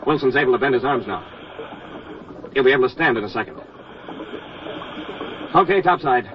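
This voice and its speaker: An actor playing a brave navy diver giving a terse, urgent running report in a 1940s radio drama. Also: male voice, medium pitch, expressive, moderate pace, close to an everyday voice. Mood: grave, urgent. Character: brave male navy diver Moran reporting tense undersea rescue. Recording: noisy, dull vintage 1940s radio recording.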